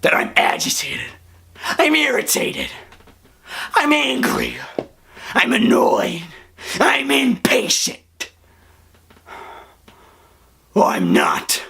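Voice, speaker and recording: Voice actor playing a crying hot-headed young man, high voice, slow, gravelly. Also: wildly swooping pitch, full character voice. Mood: resentful. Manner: tearful shouting outburst. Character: hot-tempered young man with wacky hair, deeply hurt. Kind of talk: dramatic voice acting.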